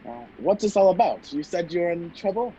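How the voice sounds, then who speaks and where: Man playing a gallant high-voiced gentleman, puzzled. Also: expressive, moderate pace, muffled recording. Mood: curious, bemused. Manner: courteous, take-charge, questioning. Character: gallant, puzzled gentleman helping a frightened stranger. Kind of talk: radio play acting. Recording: video call with faint background noise.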